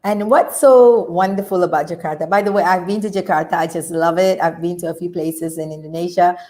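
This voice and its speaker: Bubbly, excitable woman host. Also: fairly low pitch, brisk. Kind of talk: energetic interview hosting.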